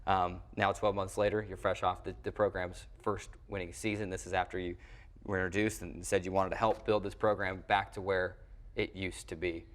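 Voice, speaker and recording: A man with a lowish voice hosts a sports program from a studio. He speaks in a conversational broadcast style, with long, wordy phrasing. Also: little pitch movement, moderate pace. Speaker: sports show host.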